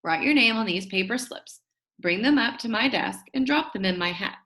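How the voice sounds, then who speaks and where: Woman reading as a brisk, jokey substitute teacher. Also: on the high side, animated, a bit bright. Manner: brisk, instructive classroom address. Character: brisk, jokey male substitute teacher addressing his class. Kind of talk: children's storybook read-aloud.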